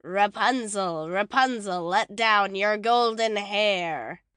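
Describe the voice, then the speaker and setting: A woman playing an imperious old witch in a fairy-tale audiobook, speaking slowly and deliberately. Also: medium pitch, a bit bright, clean tone, no room sound, studio quality. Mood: calling out. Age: older. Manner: slow, deliberate, imperious delivery. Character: wrathful old witch, menacing and bargaining.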